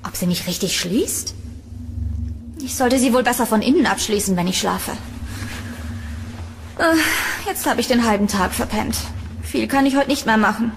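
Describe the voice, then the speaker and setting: A woman playing a young student in a horror audio drama, speaking in an expressive, chatty way. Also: fairly high pitch, normal speed, long pauses, a little hiss or hum. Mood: puzzled, drowsy. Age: young adult.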